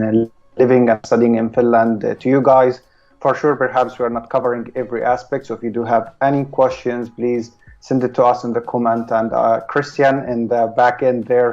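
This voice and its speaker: A man's voice: mid-range voice, darkish tone, dull audio. A man from Palestine hosting a live stream, talking casually and hesitantly with many filler sounds.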